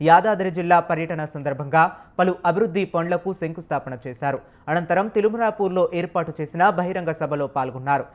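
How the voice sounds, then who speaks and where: A news presenter reading a scripted political news report. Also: mid-range voice.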